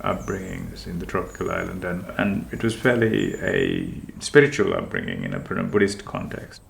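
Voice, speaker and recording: A man from Sri Lanka, a homeowner, talking in a slow, thoughtful and hesitant way about his house. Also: low-pitched, animated, creaky, slight background noise. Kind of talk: reflective conversational chat.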